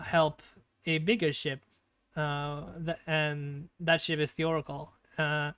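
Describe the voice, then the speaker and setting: A man's voice: fairly high pitch, fairly bright tone, clear, resonant tone, dry recording. A man streaming and chatting casually, nervous and stammering, with many fillers, on a home desktop mic. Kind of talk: casual live-stream chat. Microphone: desktop streaming mic with noise gate.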